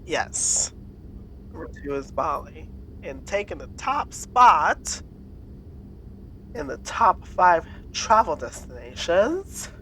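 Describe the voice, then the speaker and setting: A woman's voice: low-pitched, sing-song, takes it slowly, pauses often, a bit bright, slight background noise, rough recording. A woman co-hosting a podcast in casual chat, playfully quizzing and teasing her co-host and laughing often.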